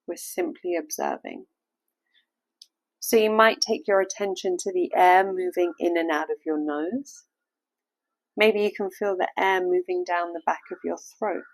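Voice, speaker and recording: A woman's voice: lowish voice, no room sound, rough recording. Woman guiding a meditation, close and dry. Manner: soothing, unhurried instructing. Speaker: meditation guide. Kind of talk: guided meditation, gentle step-by-step instruction.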